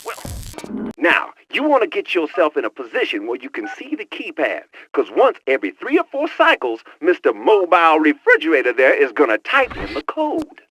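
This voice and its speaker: An actor with an American accent voicing a wisecracking, streetwise, excitable tech sidekick in a video game, with slangy, cocky banter. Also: male voice, a little high, moderate pace, a little hiss or hum, low-quality recording. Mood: cocky, instructive. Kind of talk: video game cutscene voice acting.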